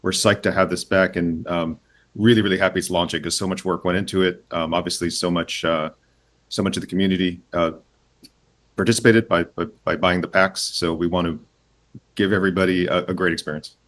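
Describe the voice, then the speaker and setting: A low-voiced man, the head of a game studio, walks through his game in a relaxed interview. He stops and starts often, filling the gaps with "um" and "uh" as he gathers his thoughts. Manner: hesitant, many "um" and "uh" pauses and restarts. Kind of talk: casual interview, explaining a game.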